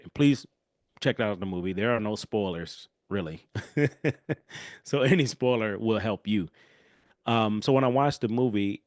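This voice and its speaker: A man's voice: on the low side, dry recording, studio quality. Stuffy-nosed man hosting a podcast. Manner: casual, animated, chatty with asides. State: congested, nasal from a cold. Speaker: podcast host. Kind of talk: solo podcast talk.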